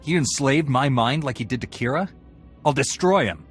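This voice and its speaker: An actor playing a hot-tempered, vengeful Jedi warrior with a blunt, assertive manner in a game. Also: male voice, medium pitch, lively intonation, normal speed, faint music behind, close to an everyday voice. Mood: shaken, defiant.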